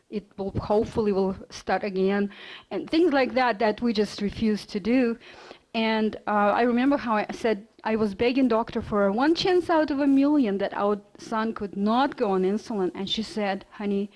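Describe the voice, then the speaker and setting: A middle-aged woman from Russia with a Russian accent, giving an earnest personal lecture with quick bursts, fillers and restarts. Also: medium pitch, darkish tone, rough recording. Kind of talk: storytelling lecture.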